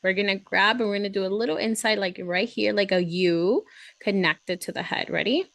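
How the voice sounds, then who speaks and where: A woman's voice: medium pitch, fairly quick, fairly bright tone, no echo. A woman hosting a read-aloud and drawing lesson for children, chatty, gushing and encouraging, often breaking into exclamations.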